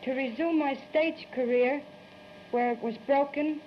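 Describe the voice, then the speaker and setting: A young woman with a high voice answers interview questions stiffly, as if rehearsed. The old 1930s newsreel sound is muffled, with clear background noise. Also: steady tone, measured pace. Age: young adult. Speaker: stage performer being interviewed.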